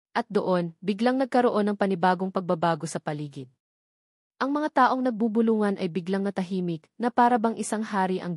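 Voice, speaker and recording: Female narrator for dramatic scripted fiction, medium pitch, very dry sound. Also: a little mellow, dry recording. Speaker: story narrator.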